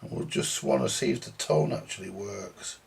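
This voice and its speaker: A man's voice: mid-range voice, normal speed. A man giving casual, muttered, thinking-aloud commentary as he repairs audio equipment at a workbench. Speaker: vintage audio repairer presenting a workbench video. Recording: clear background noise, clicks.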